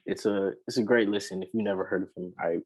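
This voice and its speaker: A man, a rapper and singer, talking casually in an interview, relaxed and rambling with many fillers. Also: low-pitched, fast, breathy edge.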